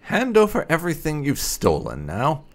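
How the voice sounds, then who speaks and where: A man's voice: a little high, huge pitch swings, normal speed. A man hosting a gaming video, commenting casually with light, sardonic roleplay.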